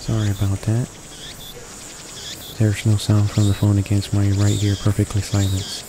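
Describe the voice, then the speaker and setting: A man reads a visual novel aloud in a low, very flat voice. He narrates haltingly, tripping on words and correcting himself as a game host. A steady noise sits clearly behind him in a homemade recording. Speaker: video game walkthrough host reading aloud. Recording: noisy home recording with constant background hiss.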